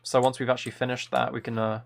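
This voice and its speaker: A man's voice: mid-range voice, little pitch movement, quick pace. A man chatting casually on a gameplay livestream, thinking aloud and rambling enthusiastically through ideas. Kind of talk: casual gameplay stream chat. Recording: clear background noise with keyboard clicking.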